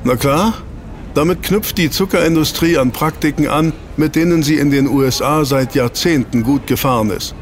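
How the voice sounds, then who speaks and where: A man's voice: fairly high pitch, moderate pace, a little hiss or hum, natural voice. A man playing an eager researcher in a German audio drama, explaining to his team and teasing out reveals with rhetorical questions. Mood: explanatory.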